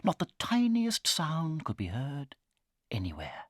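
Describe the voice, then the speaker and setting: Expressive, husky British male storyteller. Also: on the high side, measured pace, no echo, studio quality. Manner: dramatic, suspenseful, hushing to near whispers. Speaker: narrator. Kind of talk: dramatized children's storybook narration.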